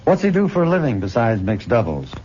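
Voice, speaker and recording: An actor playing a possessive, condescending middle-aged professor and hypnotist in an old-time radio drama, coaxing deliberately on a muffled, noisy old recording. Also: male voice, mid-range voice, big pitch swings, mellow, natural voice. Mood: jealous probing.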